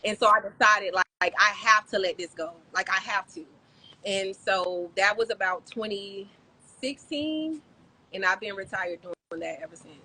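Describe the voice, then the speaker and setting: A woman in her thirties, from the Bahamas and raised in South Florida, telling her story as a podcast guest in a relaxed, chatty way with many "um"s and "like"s. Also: medium pitch, normal speed, slightly rough, low-quality recording. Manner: warm, chatty storytelling with frequent "um" and "like". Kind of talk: casual interview chat.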